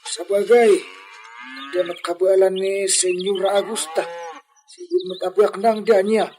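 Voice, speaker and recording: A man acting a fretful old rustic father in a Filipino radio drama. His voice is notably high for a man, with a Filipino accent, over faint noise. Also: little pitch movement, a little slow, darkish tone. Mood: fretful, curious.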